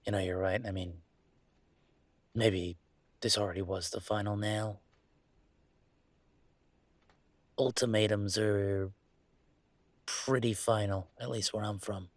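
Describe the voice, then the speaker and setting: A man playing a troubled young male werewolf in an intimate audio roleplay, confiding in a halting, sardonic, self-deprecating way. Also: on the low side, fairly even intonation, no room sound, natural voice. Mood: resigned.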